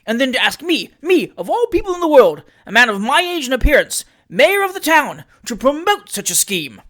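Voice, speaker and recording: A narrator voicing a pompous, pettish middle-aged male mayor in a loud, exclamatory storybook manner. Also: mid-range voice, expressive, quick pace, close to an everyday voice. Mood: indignant.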